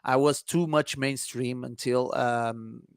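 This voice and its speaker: A man from Portugal with a Portuguese accent, speaking English as a second language, hosting an interview in halting, repetitive speech. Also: mid-range voice, fairly even intonation, vocal fry, clear, resonant tone, dry recording, clean studio sound. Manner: halting non-native English, repeats phrases. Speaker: radio-style interview host, DJ. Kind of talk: casual interview chat.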